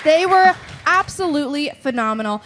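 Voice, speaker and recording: A woman co-hosting a live podcast, chatting casually in an excitable, emphatic way. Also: high-pitched, normal speed. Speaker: podcast co-host. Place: live show in front of an audience. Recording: faint crowd and noise at live show.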